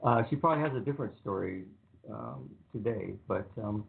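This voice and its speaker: A man with a somewhat low voice talks calmly and evenly on a radio show, drifting between points with plenty of ums. His voice comes through a narrow, phone-like line. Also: a little fast, vocal fry. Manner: calm, even, rambling with many ums. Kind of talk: casual talk on a radio show.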